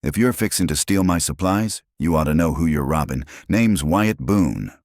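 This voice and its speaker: A man voicing a weathered, wry male marshal in a slow drawl with a gravelly edge. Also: a bass voice, expressive, darkish tone, no room sound, clean studio sound.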